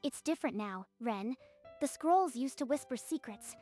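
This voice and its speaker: A high female voice from an actress plays an earnest, supportive ally in a manga dub. She delivers dramatic lines sincerely, with music underneath. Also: lively intonation, normal speed, darkish tone.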